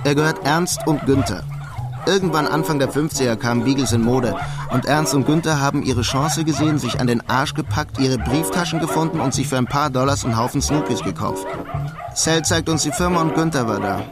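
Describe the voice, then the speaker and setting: An actor playing a wry, resigned immigrant who narrates a radio drama in a dry, laconic way. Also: male voice, medium pitch, fairly quick, loud music behind the voice, close to an everyday voice. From Germany. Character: wry, resigned German immigrant man in New York. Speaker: first-person narrator.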